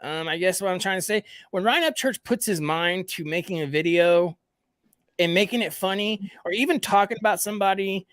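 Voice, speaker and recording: A man's voice: sits high, a little fast, a bit bright, no room sound. A man from the American South, hosting a casual livestream podcast chat, rambling and repeating himself as he talks to his viewers. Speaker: podcast host.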